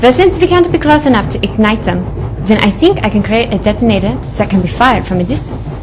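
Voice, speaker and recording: An actress playing a proud, coolly confident scientist in an audio adventure drama, speaking with formal precision. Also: female voice, fairly high pitch, expressive, a little fast, noisy background, natural voice. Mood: proud, explanatory.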